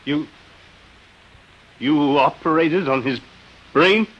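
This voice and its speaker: A man's voice: on the high side, slow pace, highs cut off. An actor playing an agitated, despairing man in an old radio drama, speaking with stammering intensity. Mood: horrified anger. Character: agitated, despairing man horrified by robot rule. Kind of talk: 1950s radio drama acting. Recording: old radio broadcast recording.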